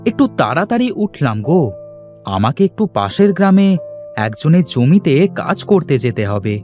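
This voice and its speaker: A man's voice: on the high side, sing-song, normal speed, darkish tone, soft background music. A man voicing a poor, hardworking village farmhand and devoted husband in a cartoon, earnest, deferential and plaintive. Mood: affectionate. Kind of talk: Bengali moral-story cartoon voice acting.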